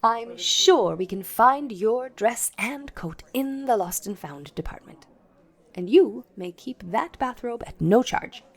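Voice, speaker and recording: Casting: exasperated shop floorwalker, 1940s radio comedy, high and swooping, fussily polite. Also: female voice, animated, takes it slowly, exaggerated voice. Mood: conciliatory. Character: flustered, long-suffering department store floorwalker woman. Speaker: actor in an amateur radio play recreation.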